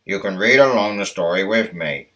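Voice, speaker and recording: A man narrating a children's read-along story in a deliberate storytelling manner. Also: sits low, sharp tone.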